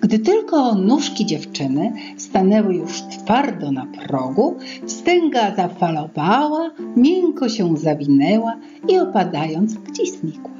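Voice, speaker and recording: Slow, animated middle-aged woman storyteller. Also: mid-range voice. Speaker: author reading her own fairy tale. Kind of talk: expressive storytelling for children. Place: small indoor room at home. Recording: dull lo-fi sound, music behind. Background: music.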